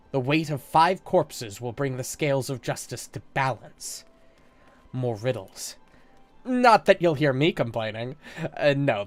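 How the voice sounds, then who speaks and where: A man's voice: mid-range voice, natural voice. A man with a slight cold reading game lines aloud as a wry, talkative male newsman, in a plain voice close to his own. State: slight cold, a little congested.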